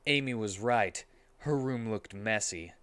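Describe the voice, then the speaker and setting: A man's voice: on the low side, unhurried, fairly bright tone, clear, resonant tone, dry recording. A man narrating a story aloud in a mock-serious, theatrical style, playing absurd lines straight. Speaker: streamer reading a story aloud.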